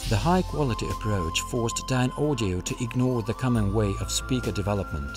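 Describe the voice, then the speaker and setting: A man reading scripted promotional documentary narration in a polished, measured manner. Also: lowish voice. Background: music.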